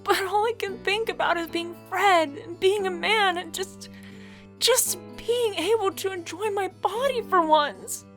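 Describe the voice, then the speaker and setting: A woman's voice: very high voice, a little slow, fairly bright tone, soft background music, voice pushed up. A woman voicing an anxious, self-loathing middle-aged woman with a hesitant, stammering delivery in a story. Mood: despairing.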